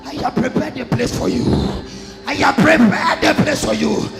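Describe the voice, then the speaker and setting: A man giving a shouted prophetic message as if God is speaking, repeating each phrase and wailing. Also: very high-pitched, moderate pace, creaky. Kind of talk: ecstatic prophetic utterance in the voice of God. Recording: echoey hall with music and crowd.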